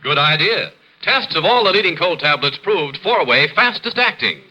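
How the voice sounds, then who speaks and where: An American male announcer delivering a scripted 1950s radio commercial pitch. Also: big pitch swings, moderate pace, sharp tone, poor audio.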